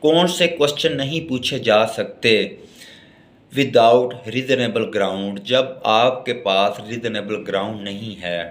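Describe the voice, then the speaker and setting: A man's voice: fairly low pitch, slow. A man with a Pakistani accent giving a law lecture, didactic, moving between word-by-word dictation and explanation. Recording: echoey room.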